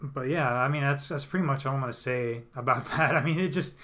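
A young man co-hosting a casual podcast, talking in a relaxed, hesitant way with lots of fillers and restarts. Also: medium pitch, a little fast. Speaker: podcast co-host. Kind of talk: casual chat.

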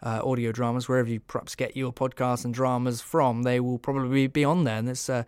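A male podcast host chats casually through a review, phrasing things hesitantly. His mid-pitched voice is smooth and clear, recorded dry and close. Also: level tone, brisk, a little mellow, dry recording.

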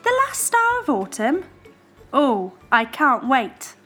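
Woman playing a curious boy hedgehog, storybook, eager, high-pitched, very lively intonation. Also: takes it slowly, faint music behind, heavily acted. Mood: curious, excited.

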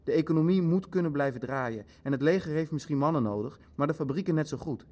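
An actor in an audio drama playing a pragmatic Nazi official who speaks in a polite, measured, coldly matter-of-fact way. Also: male voice, fairly high pitch, lively intonation, mellow.

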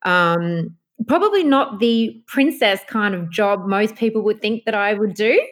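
A woman's voice: mid-range voice, expressive, unhurried. A woman with an Australian accent and a nasal voice, chatting as an interview guest with many fillers and restarts. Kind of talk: casual interview chat.

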